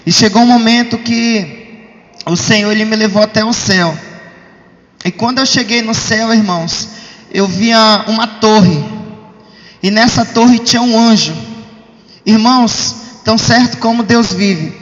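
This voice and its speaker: A Brazilian man with a high voice gives an emphatic testimony to his congregation. He keeps addressing them directly as brothers. The sound is dull and rings through a long hall reverb. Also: a little slow, long pauses, fairly bright tone. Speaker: church member giving testimony.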